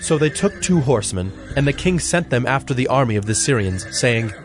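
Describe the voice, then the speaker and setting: A man narrating a dramatized scripture reading in a measured, solemn manner. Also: medium pitch, expressive. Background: soft background music.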